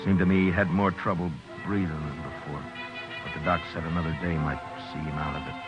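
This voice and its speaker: An actor playing a stern, weary Old West marshal in a 1950s radio Western, speaking tersely and with command. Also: male voice, low voice, fairly even intonation, a little fast, dull audio, loud music behind the voice, lightly acted. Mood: weary, somber. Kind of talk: 1950s Western radio drama acting and narration. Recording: noisy old radio recording.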